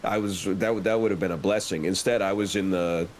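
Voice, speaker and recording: Deep-voiced, deadpan man. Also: fairly quick, a little hiss or hum. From Canada. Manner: calm, flat, deadpan delivery. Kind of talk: casual storytelling chat.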